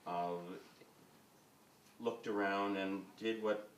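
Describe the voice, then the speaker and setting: A man's voice: lowish voice, fairly even intonation, slow, distant mic, a little hiss or hum. A man, a film director on a panel, speaking in a rambling way full of hesitations. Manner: rambling, filled with uhs and ums. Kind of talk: panel discussion. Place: event hall with audience. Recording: roomy hall miked from afar.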